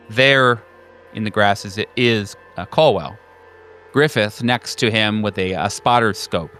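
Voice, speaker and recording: A man working as a game master, improvising descriptive narration in a halting, conversational way. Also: medium pitch, a bit bright.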